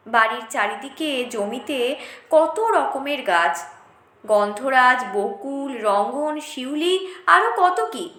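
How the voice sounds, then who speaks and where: A woman reading a story aloud in a dramatic, expressive first-person style with deliberate phrasing. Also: high-pitched, reverberant. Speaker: storyteller, audiobook narrator.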